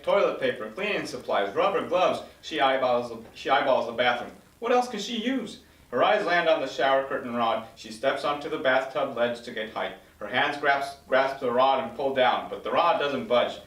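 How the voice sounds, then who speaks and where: A man narrates the action of a TV script as scripted stage directions. His voice has a medium male pitch. It sounds roomy and slightly away from the microphone. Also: fairly bright tone, recorded from a distance. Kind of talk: scripted narration at a table read. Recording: echoey room, slightly off-mic.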